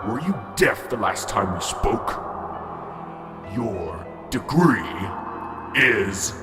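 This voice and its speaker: An actor playing a cruel, taunting male voice inside a man's head, mocking in a slow hiss and breaking into shouts, recorded with echo and loud music. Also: fairly low pitch, very animated, heavily acted. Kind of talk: amateur radio drama.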